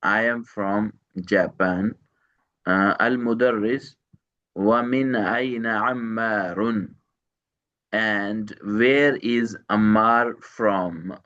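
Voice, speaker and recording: A man teaching Arabic one to one, repeating each phrase slowly, translating word by word and quizzing his student with short questions. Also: fairly low pitch, fairly bright tone, dull audio. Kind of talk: one-to-one language lesson.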